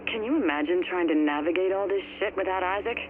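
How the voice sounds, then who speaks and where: Game role: male actor as candid field agent, high voice, relaxed shop talk, tinny audio log with faint noise. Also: fast talker, close to an everyday voice. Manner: casual, candid. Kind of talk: casual conversational acting in a video game audio log. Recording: tinny, slightly noisy recorded audio log.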